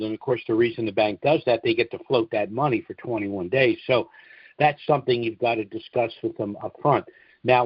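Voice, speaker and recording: A man's voice: medium pitch, husky, dry recording. A man hosting a conference call, answering callers with informal, instructive advice and repeating his points emphatically. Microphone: conference call line. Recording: dull, lo-fi conference call audio.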